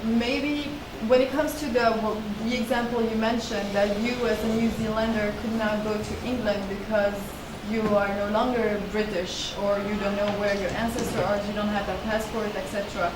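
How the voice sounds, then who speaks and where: A woman's voice: mid-range voice, level tone, normal speed, few pauses. A woman in her thirties, a lecturer answering audience questions in an earnest, explanatory way with many restarts. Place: seminar room with a panel table. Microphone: table mic on a stand. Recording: echoey room, distant and noisy.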